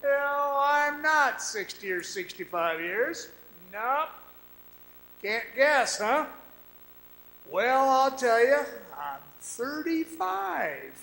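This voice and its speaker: A man's voice: very high-pitched, very animated, very slow, gravelly, bright, forceful tone, exaggerated voice. A man playing a folksy, teasing, grey-bearded Arizona storyteller in an old-time radio drama monologue. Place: performing arts center stage. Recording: roomy live stage recording.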